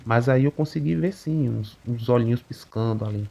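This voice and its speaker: A man narrating a first-person supernatural account in a conversational, unhurried way, often adding little tag questions. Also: medium pitch, vocal fry, dark tone. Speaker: channel narrator reading a listener's account. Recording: muffled recording with faint rain behind.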